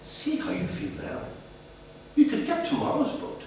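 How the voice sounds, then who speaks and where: A man voicing an anxious, pleading mother with a hushed, breathy delivery, heard from a distance in a very echoey room. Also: very high-pitched, sing-song, moderate pace, pitched above the performer's own voice, heavily acted. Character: middle-aged mother, soft pleading and anxious. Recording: very echoey room, distant mic, faint hum.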